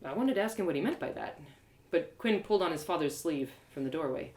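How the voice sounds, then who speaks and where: A woman reading a first-person fantasy story live, in an expressive, confiding, dramatized narration. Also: low voice, fast. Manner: expressive, confiding, acted-out delivery. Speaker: storyteller and audio drama performer.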